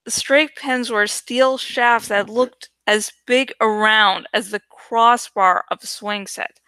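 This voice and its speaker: A woman reading a young adult novel aloud in an expressive, deliberate, dramatic way. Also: fairly high pitch, smooth, no room sound. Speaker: audiobook reader.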